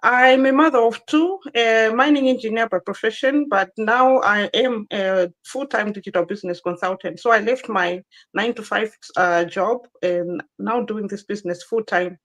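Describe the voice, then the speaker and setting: A woman's voice: medium pitch, moderate pace, fairly bright tone. A woman in her thirties from Zimbabwe, a guest telling her personal story in an online interview, earnest and given to repeating herself.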